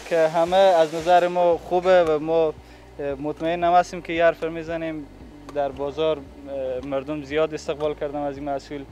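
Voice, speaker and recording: Young man, higher-pitched, formal but halting interviewee, slightly muffled behind a mask. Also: flat delivery, a little mellow. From Afghanistan. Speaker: factory representative being interviewed. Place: large indoor factory hall. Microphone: handheld reporter microphone held toward him.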